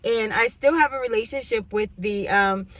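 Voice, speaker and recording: A woman, chatting casually to camera as a couponing vlogger, rambling through asides and clearing her throat now and then. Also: on the high side, normal speed, a bit bright, no echo. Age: 40s. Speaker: couponing YouTuber.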